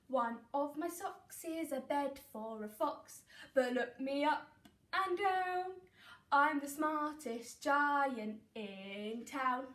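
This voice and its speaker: A woman voicing a kind, cheerful male giant in a storybook, sing-song in his rhymes. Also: sits high. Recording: echoey room, far from mic.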